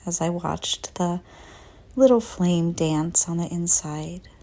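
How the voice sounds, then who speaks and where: A woman narrating a guided visualization in a soft, breathy voice that often nears a whisper, calm and gentle with long pauses. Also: lowish voice. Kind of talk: slow guided visualization, soothing scripted narration.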